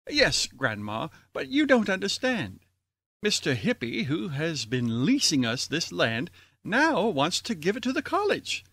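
High-pitched exasperated chairman played by a man. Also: huge pitch swings, a little slow, sharp tone, breathy edge, no room sound, heavily acted. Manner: impatient, brusque. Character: exasperated, tight-fisted college board chairman. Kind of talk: old-time radio comedy acting.

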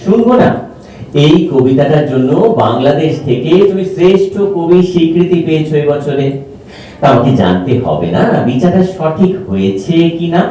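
A man's voice: on the high side, lively intonation, moderate pace, mellow, slight background noise, natural voice. A man playing a charming, teasing singer in a romantic audio drama, with theatrical, gushing delivery. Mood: admiring. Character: charming male singer, teasing admirer of a poetess. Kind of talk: stage audio drama acting, romantic banter. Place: multipurpose hall, live performance. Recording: very echoey hall, distant microphone.

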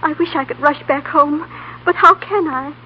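A woman's voice: high voice, normal speed, natural voice. An actress playing a sentimental, fluttery middle-aged mother in a 1930s radio play. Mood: wistful. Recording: muffled, noisy 1930s radio broadcast.

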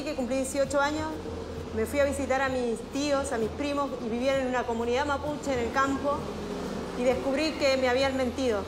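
A woman's voice: high voice, noisy background. A woman in her thirties with an Argentine accent, telling her personal story to a listening group. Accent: Argentine Spanish. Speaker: Mapuche activist and documentary protagonist. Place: classroom, indoors. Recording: echoey classroom with music behind.

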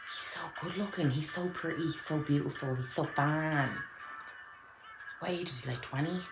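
Low-voiced chatty Irish woman. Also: moderate pace, music in the background. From Ireland. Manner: chatty and playful, with whispered asides. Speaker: YouTuber. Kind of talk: casual reaction commentary. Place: indoors at home.

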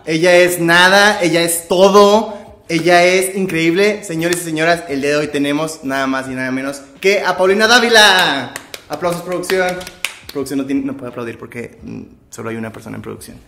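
A man's voice: a little high, a little fast, pushed and bright, reverberant, slight background noise. A young man with a northern Mexican accent hosting a casual talk show, upbeat and slangy. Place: living room, indoors. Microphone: stand mic on coffee table.

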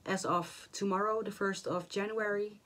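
A woman in her forties, hoarse and a little nasal after the flu, chatting to camera about her stitching projects from a small home craft room, with many fillers and restarts. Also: medium pitch, steady tone, moderate pace, fairly bright tone. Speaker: cross-stitch vlogger. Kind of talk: casual chat to camera.

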